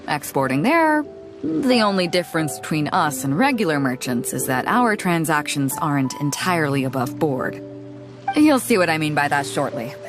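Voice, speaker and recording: A bold, brash pirate captain. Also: female voice, fairly low pitch. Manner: brash, teasing, commanding. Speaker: fleet captain. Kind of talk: video game cutscene dialogue. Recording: game audio with background music.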